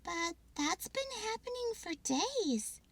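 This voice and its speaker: A woman's voice: extremely high pitch, sing-song, takes it slowly, a bit bright, dry recording, voice pushed up, exaggerated voice. A woman voicing a puzzled, practical young girl squirrel in a storybook.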